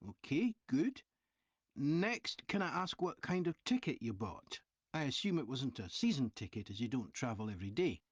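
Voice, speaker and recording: An actor playing a polite train company representative asking survey questions in a scripted listening-test dialogue, clearly enunciated. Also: mid-range voice, sing-song, moderate pace, no echo. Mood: polite, inquiring.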